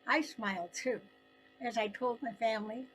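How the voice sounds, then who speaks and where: An older woman narrating her own personal story in an expressive, deliberate way with dramatic pauses. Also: on the high side, faint music behind, low-quality recording.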